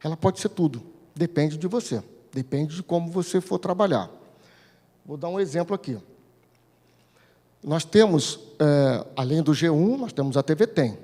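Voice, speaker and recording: A man's voice: fairly high pitch, expressive, normal speed, pauses often, dark tone. Brazilian man presenting in a reverberant hall. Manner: didactic, asks questions and answers them himself. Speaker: speaker at a conference, regional TV news editor-in-chief. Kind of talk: informal conference presentation. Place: large indoor conference hall. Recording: echoey hall with long reverb.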